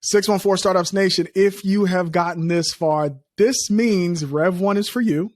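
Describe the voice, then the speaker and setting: A man from Liberia hosting a startup podcast, energetic, speaking straight to listeners and reading sponsor spots. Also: a little slow, no echo. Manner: energetic, pitches listeners directly. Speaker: podcast host. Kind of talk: podcast interview and ad read.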